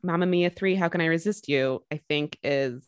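A woman's voice: sits low, normal speed, clear, resonant tone, no echo, clean studio sound. A woman co-hosting a podcast, chatting casually and jokingly about a film, with lots of fillers. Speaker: podcast co-host.

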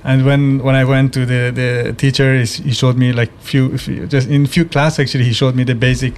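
A man's voice: medium pitch, flat delivery, fairly quick, a little mellow, slight background noise. A man who grew up in Iran, a musician guest, speaking in a casual interview with a hesitant manner and many restarts.

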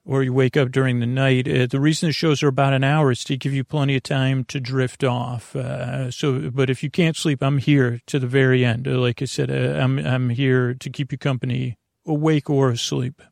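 Man, middle-pitched, gravelly fry, dry recording, bedtime podcast host, rambling, hesitant, self-deprecating, digressive. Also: flat delivery, moderate pace, hardly any pauses, darkish tone, no room sound. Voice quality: creaky, gravelly. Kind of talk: rambling bedtime monologue to listeners.

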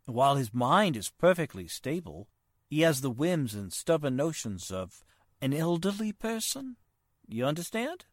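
A polite, worried gentleman in an old-time radio play, acted by a man. He speaks formally and haltingly, his pitch rising and falling widely, in a dry, close recording. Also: no room sound. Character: polite, hesitant, worried nephew of a wealthy man. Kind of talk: 1940s radio drama acting.

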